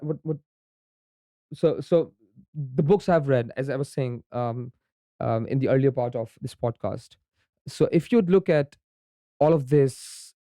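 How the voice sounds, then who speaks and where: Young pakistani man, urdu native, mellow voice, smooth tone, dry close mic, podcast guest, lots of ums and "right?". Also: medium pitch, no echo. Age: young adult. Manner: frequent ums and repeated "right?" tags. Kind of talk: conversational explanation.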